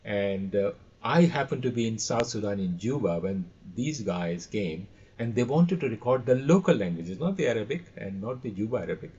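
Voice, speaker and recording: A man speaking as a podcast guest, telling stories casually in a halting way, repeating words, over lo-fi call audio. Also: on the low side, animated, darkish tone, slight background noise. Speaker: podcast guest, radio expert. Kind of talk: casual storytelling.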